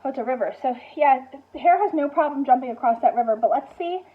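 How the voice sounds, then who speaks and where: A woman teacher doing an expressive picture-book read-aloud for young children, commenting and asking prompting questions in an animated way. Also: high-pitched, quick pace, narrow, tinny audio. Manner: expressive, animated storytelling with gasps and exclamations.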